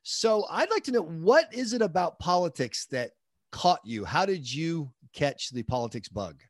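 A man's voice: on the high side, very animated, no room sound. Podcast host, a man from the American South, chatty and joking, recorded with a dry, close sound. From southern United States. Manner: chatty, joking, quick asides. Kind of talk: conversational interview.

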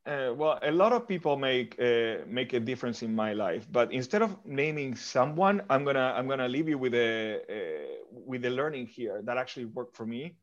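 A man from Argentina speaks as a podcast guest at a middle pitch. He answers thoughtfully and often restarts or repeats words as he goes. His audio is muffled and lo-fi. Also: expressive, normal speed, few pauses. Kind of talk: interview answers.

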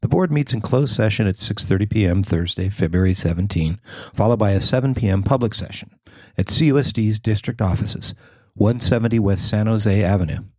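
A man's voice: lowish voice, fairly quick, dry recording. A man reading scripted news narration as a podcast host and reporter.

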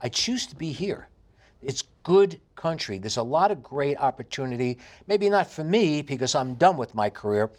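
A man in his fifties with a heavy New York accent, telling his life story in an interview with animated emphasis. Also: medium pitch, moderate pace. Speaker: interview guest. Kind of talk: candid conversational interview.

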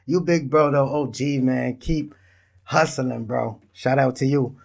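A man's voice: mid-range voice, steady tone, unhurried, vocal fry. Laid-back man in his thirties from Israel. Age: 30s. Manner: laid-back, rambling, talks straight to listeners. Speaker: podcast host. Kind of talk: casual solo podcast monologue.

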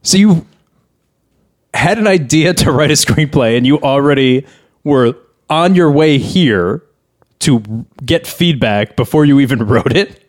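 Male co-host, average pitch, casual comic banter and critique. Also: expressive, unhurried. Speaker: podcast host.

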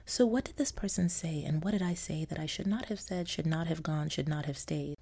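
A woman from Nigeria hosting a podcast, delivering a scripted storytelling monologue with a wry, sardonic, theatrical manner and frequent soft laughter. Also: sits low, a little fast, vocal fry. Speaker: podcast host.

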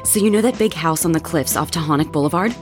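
A woman playing an excitable, bold young roommate who spins gossip dramatically in a horror audio drama. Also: sits low, quick pace, soft background music, close to an everyday voice. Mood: gossipy. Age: young adult. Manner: animated, teasing, dramatic storytelling.

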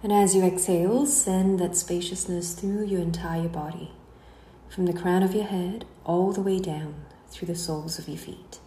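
Mellow, low-voiced woman guiding relaxation. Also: fairly even intonation. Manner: unhurried, soothing, with trailing phrase endings. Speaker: guided meditation instructor. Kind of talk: slow guided relaxation narration. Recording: roomy echo, faint background noise.

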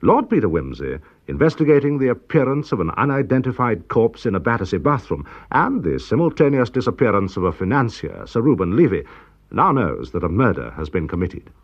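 A husky Englishman delivers scripted narration as a radio drama announcer. His mid-range voice moves widely in pitch. The recording is a little dull. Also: sing-song, fairly quick. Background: faint music.

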